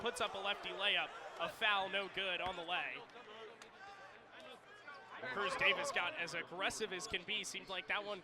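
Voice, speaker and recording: High-pitched man calling radio basketball. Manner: clipped, descriptive phrases, punching the big plays. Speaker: basketball play-by-play announcer. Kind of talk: radio sports play-by-play commentary. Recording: noisy arena with bouncing basketballs.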